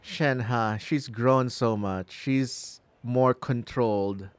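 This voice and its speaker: A man's voice: medium pitch, drawn-out words, clean tone. A middle-aged man from the Philippines giving casual, rambling commentary on a livestream as he plays a game. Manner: chatty, rambling. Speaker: game streamer. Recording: game music behind.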